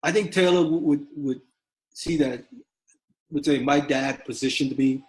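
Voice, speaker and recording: Halting, earnest middle-aged man. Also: a little high, darkish tone, rough recording. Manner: halting, many restarts and fillers, earnest. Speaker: interview guest, father and manager. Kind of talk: reflective conversational interview.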